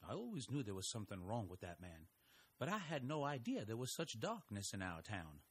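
A man's voice: fairly low pitch, wildly swooping pitch, a little fast, slightly rough, dry recording, full character voice. A man acting in an audio drama as a folksy, stubborn, protective older husband. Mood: grave, concerned.

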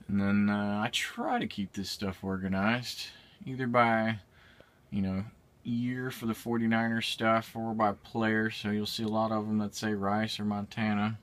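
A man's voice: on the low side, steady tone, measured pace, a bit bright. A man casually narrating a tour of his collectibles room at home, rambling with frequent fillers, recorded on a handheld phone. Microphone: handheld phone camera.

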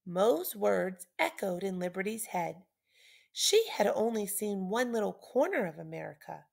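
A middle-aged woman reads a children's story aloud like a teacher, with deliberate, dramatic emphasis. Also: medium pitch, expressive, creaky, darkish tone.

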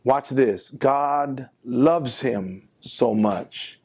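A man's voice: mid-range voice, extremely slow, darkish tone, breathy edge. An older man, a pastor, giving an earnest devotional sermon from his office desk, speaking emphatically and asking rhetorical questions, recorded on a lapel mic.

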